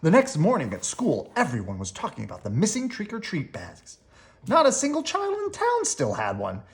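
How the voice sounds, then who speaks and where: Animated storybook reader with swooping intonation. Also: mid-range voice. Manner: animated storyteller with dramatic emphasis and drawn-out words. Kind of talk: expressive children's read-aloud narration.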